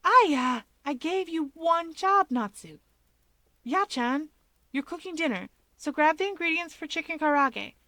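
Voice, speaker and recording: Her voice is high and fussing, a bossy big sister in an audiobook story, acted out by a woman narrator. She chatters brightly, scolds and trails off, flustered. The sound is close and dry. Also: animated, a little slow, dry recording, heavily acted. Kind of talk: fan fiction audiobook character voice.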